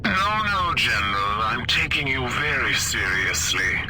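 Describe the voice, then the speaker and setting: Stern, dry government boss. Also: male voice, sing-song, unhurried, loud music behind the voice. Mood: skeptical, cool. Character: stern, skeptical government boss, demanding and dryly sarcastic. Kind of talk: 1950s-set video game acting. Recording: clearly noisy with low rumble.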